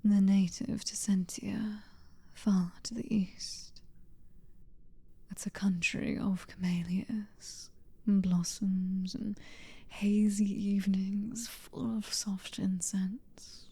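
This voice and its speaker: A woman with a British accent voicing a possessive, centuries-old female vampire noblewoman in a slow, intimate whispered roleplay. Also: medium pitch, little pitch movement, warm tone, exaggerated voice. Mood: teasing. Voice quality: breathy whisper. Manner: slow, intimate, teasing and controlling. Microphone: close microphone.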